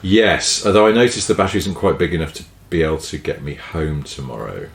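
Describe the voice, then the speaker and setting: A man co-hosting a podcast in casual, rambling chat, quick to laugh, his voice low and tired from two days of talking, recorded inside a caravan. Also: animated, creaky, echoey room. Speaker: podcast co-host. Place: inside a large caravan in a field.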